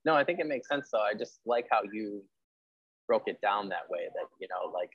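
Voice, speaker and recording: A man's voice: fairly low pitch, expressive, moderate pace, slightly rough, no echo, muffled recording. A man hosting a podcast interview in a casual, chatty, informal way.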